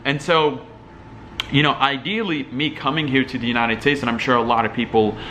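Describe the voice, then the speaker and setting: A young man from Algeria speaks in an average-pitched voice, rambling through casual commentary with lots of fillers and restarts. Faint noise hums behind him. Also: fairly even intonation, a little fast, pushed and bright. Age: young adult. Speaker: entrepreneur vlogger. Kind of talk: casual talking-head commentary.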